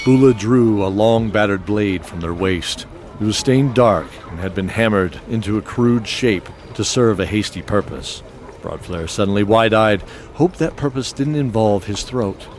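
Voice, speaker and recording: A man's voice: fairly low pitch, normal speed, darkish tone, slight background noise. A man reading scripted narration as the narrator of an audio fiction show. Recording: clear music bed behind voice.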